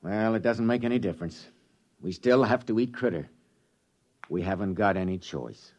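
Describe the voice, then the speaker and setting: An actor playing a pragmatic, sardonic spaceship crew leader, matter-of-fact, in a 1950s radio drama. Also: male voice, on the low side, normal speed, darkish tone. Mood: resigned.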